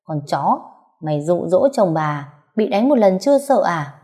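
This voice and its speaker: A woman voicing a brash, furious young wife who shouts accusations in a shrill voice. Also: lively intonation, normal speed, lightly acted.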